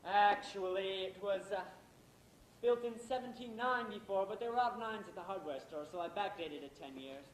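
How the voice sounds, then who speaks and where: A man's voice: high-pitched, moderate pace, natural voice. A man playing a jaded, envious husband and playwright in an amateur stage play, sardonic and theatrically wordy. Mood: sly, smooth. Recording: roomy, echoey stage recording with noise.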